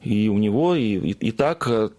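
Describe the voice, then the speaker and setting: A man from Russia speaks as a guest on a radio talk show. He tells his anecdotes with a lively, swooping voice and trips over himself with fillers and restarts. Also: fairly low pitch, normal speed. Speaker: producer of Orthodox projects, guest.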